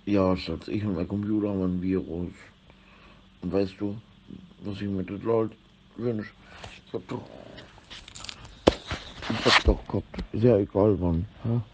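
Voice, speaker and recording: A man's voice: fairly low pitch, fairly even intonation, unhurried, long pauses, darkish tone, a little hiss or hum. A middle-aged man, delivering a rambling, repetitive informal monologue in slangy language. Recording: dull lo-fi recording.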